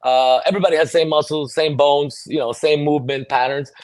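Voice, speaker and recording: A man's voice: a little high, fairly even intonation, brisk, dry recording. A middle-aged man raised in New York City, born in El Salvador, explaining things earnestly as an interview guest. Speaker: podcast guest, mobility fitness trainer. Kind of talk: conversational interview answers.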